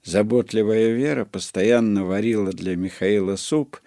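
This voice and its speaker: A man reading his own first-person memoir aloud in a measured, dryly ironic storytelling manner. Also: fairly low pitch, warm tone, dry recording, clean studio sound. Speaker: narrator.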